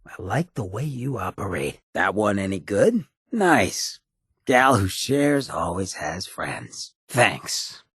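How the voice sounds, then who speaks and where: An actor playing a roguish, streetwise ghoul in a video game, with a very raspy, gravelly voice and a cocky, laid-back, slangy way of talking. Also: male voice, on the low side, big pitch swings, takes it slowly, a bit bright, no room sound, studio quality, full character voice. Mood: casual, wry. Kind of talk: video game companion dialogue.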